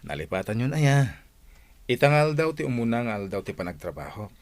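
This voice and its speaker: A man's voice: medium pitch, sing-song, brisk, lightly acted. An actor playing a dutiful young man starting his first job, respectful and eager, in a radio drama.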